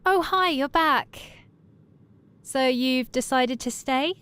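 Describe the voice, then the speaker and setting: High, clear, chirpy receptionist. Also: female voice, takes it slowly, fairly bright tone. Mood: friendly. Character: friendly, chirpy female receptionist. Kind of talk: audio drama acting.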